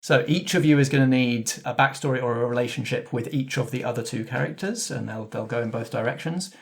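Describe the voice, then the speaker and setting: Mid-pitched man hosting a roleplay podcast. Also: fairly quick, gravelly. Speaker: host and game master. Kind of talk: casual backstage chat after scripted intro.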